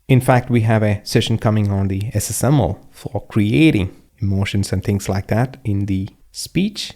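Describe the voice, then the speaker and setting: A man's voice: mid-range voice, vocal fry, mellow. A middle-aged man from Kerala with an Indian English accent, explaining a coding demo step by step in a conversational way. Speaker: course instructor. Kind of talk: screen-share coding tutorial.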